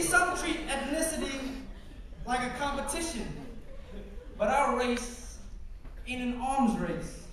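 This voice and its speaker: A young man with a strikingly high voice performs spoken word poetry, rolling out rhymes and punchy wordplay in a rhythmic delivery. He is picked up from far off in a very echoey hall, with clear room noise and audience ambience behind him. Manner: casual, joking asides to the crowd. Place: stage at a campus culture event. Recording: echoey hall, distant mic, background noise. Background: audience ambience and laughter.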